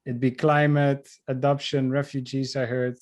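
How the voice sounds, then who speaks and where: A man's voice: medium pitch, level tone, normal speed, a little mellow. A man speaking informally to the people at an event as he introduces himself. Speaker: community organizer and speaker. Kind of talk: informal spoken address at an event.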